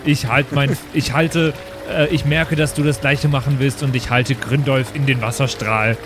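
A man takes part as a player in a tabletop role-play show. He talks casually, improvising as he goes, in a medium-pitched voice. Music is clearly audible behind him. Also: level tone, moderate pace. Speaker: player in a tabletop game podcast.

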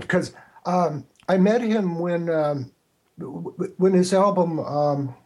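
Husky older man, higher-pitched, halting. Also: a little mellow, poor audio. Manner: halting, stammering starts. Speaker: guest, radio producer. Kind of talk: casual anecdotal interview chat.

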